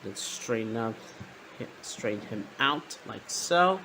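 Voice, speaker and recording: Slow-paced man narrating a toy review. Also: mid-range voice, expressive, noisy background. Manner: halting, repeats himself.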